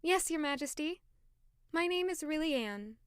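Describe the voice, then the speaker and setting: A woman's voice: sits high, lively intonation, measured pace, no room sound, studio quality. A woman playing an anxious, earnest young maid turned lady-in-waiting in an audio drama, introspective in manner. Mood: deferential. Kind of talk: audio drama dialogue and first-person narration. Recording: music behind the voice.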